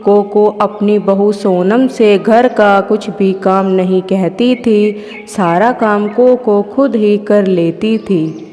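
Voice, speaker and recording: A woman's voice: medium pitch, slow pace, dark tone. A woman narrating a scripted children's moral story as a storyteller. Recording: long hall reverb, faint music. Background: music.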